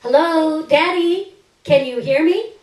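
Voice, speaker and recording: A woman's voice: high voice, takes it slowly, voice pushed up. A woman reader playing a wry, hurt, defiant grown daughter in a staged short story, calling out theatrically. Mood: mock defiant. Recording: roomy stage, distant microphone.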